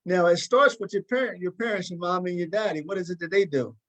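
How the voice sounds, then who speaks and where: A man's voice: high-pitched, quick pace, fairly bright tone. A man hosting a talk show, interviewing conversationally and often repeating words as he forms his questions.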